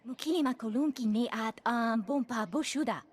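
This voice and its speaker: Actress as alien female, higher-pitched voice, invented alien speech, video game cutscene acting. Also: a little fast, slight background noise, close to an everyday voice. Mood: earnest. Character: female alien speaking an invented gibberish tongue.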